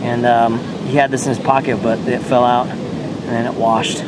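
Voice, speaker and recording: A man on a rocky beach telling made-up stories in a deadpan way to a handheld camera. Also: mid-range voice, moderate pace. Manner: deadpan, tall tales told straight. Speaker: vlogger. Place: rocky beach outdoors by the surf. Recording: loud surf noise outdoors. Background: loud ocean waves.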